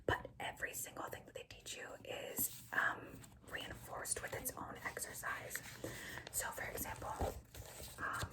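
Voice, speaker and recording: A woman's voice: distant mic, poor audio. A young woman whispering an ASMR show-and-tell in a rambling, chatty way, full of fillers. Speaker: ASMR creator.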